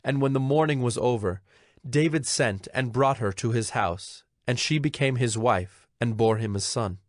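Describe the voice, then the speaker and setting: A man's voice: mid-range voice, moderate pace, dry recording, clean studio sound. A man narrating scripture from a script as part of a dramatized audio production.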